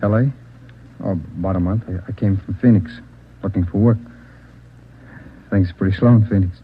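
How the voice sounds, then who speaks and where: An actor playing a cornered, evasive small-time drug addict in a 1940s radio drama, stammering and repeating questions back. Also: male voice, deep voice, fairly even intonation, moderate pace, slight background noise, lightly acted. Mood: evasive, nervous. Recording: muffled, thin 1940s radio recording.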